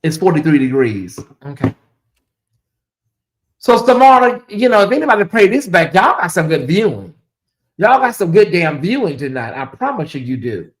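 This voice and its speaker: A man talks casually to his livestream viewers from home. His voice is hoarse and fading, and he rambles, circles back and repeats lines with dry sarcasm. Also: high voice, sing-song, normal speed. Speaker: livestream host. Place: home in Atlanta, cold room.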